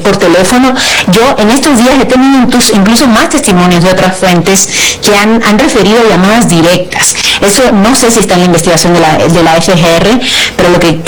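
A columnist from Cuba speaking as a guest in a radio interview, with a middle-pitched voice. The talk is analytical and measured, and the speaker builds long, qualified arguments point by point. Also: a little hiss or hum, poor audio.

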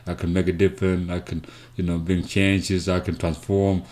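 A man from South Sudan telling his life story as an interview guest, speaking haltingly with many fillers and restarts. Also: deep voice, level tone, a little mellow. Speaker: guest, nonprofit founder.

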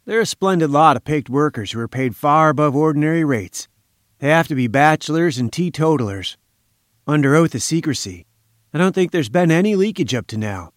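A man playing a brisk, friendly young journalist with a breezy manner. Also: fairly high pitch, animated, dry recording, clean studio sound, natural voice.

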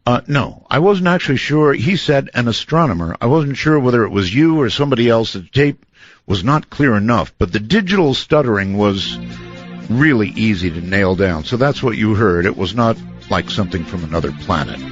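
A man's voice: fairly low pitch, lively intonation, moderate pace, lo-fi sound, faint music behind. A man hosting a late-night call-in radio show, dry and wisecracking with callers and guests. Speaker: radio talk show host.

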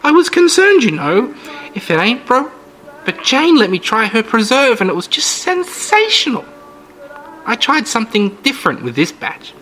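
Brief: male narrator voicing menacing elderly hostess, genteel and shrill, over light music. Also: wildly swooping pitch, moderate pace, sharp tone, exaggerated voice, rough recording. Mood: gushing. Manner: sugary, genteel, shrill falsetto. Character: sly elderly woman hostess, sweet turned menacing. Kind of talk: storytelling reading.

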